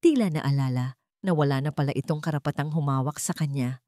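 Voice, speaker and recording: A woman's voice: sits low, brisk, warm tone, no echo, studio quality. A woman with a Filipino accent telling a story in dramatic scripted narration.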